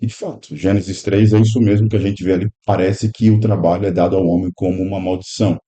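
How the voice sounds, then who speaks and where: A man's voice: deep voice, fairly even intonation, fast, mellow. A man in his thirties, a pastor and teacher, explaining his ideas reflectively in a podcast conversation, with many "né?" tags. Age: 30s. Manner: reflective, explanatory, speaks in long run-on phrases with frequent "né?". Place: improvised room at a pastors' convention.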